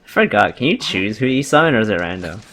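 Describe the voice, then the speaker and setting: A man's voice: fairly low pitch, very animated, fast, a bit bright, slight background noise. A laid-back man reacting casually with short interjections as he watches someone play a video game.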